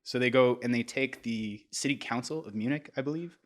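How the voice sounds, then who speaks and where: A man's voice: mid-range voice, brisk. Man telling history casually on a podcast. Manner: animated, filler-heavy, keeps checking in with "right?". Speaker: podcast host.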